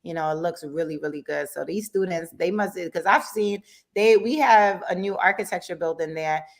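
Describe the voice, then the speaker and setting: A woman in her thirties, from Jamaica and raised in Brooklyn, talks with a low, slightly gravelly voice. She speaks in a relaxed, chatty way, often with a laugh close by. She is a guest on a radio talk show. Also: moderate pace. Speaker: guest entrepreneur. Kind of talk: casual interview chat. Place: radio studio.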